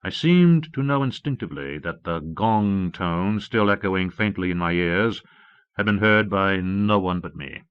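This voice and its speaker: A man's voice: deep voice, animated, measured pace, clean tone, no echo. A man reading a novel aloud as a scripted first-person audiobook narration. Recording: muffled old cassette audiobook.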